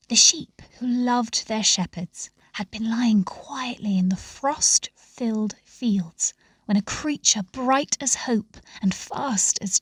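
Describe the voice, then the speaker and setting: A woman reading a scripted story aloud in a measured, expressive storytelling manner. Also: fairly high pitch, slightly rough, no room sound. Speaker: story reader.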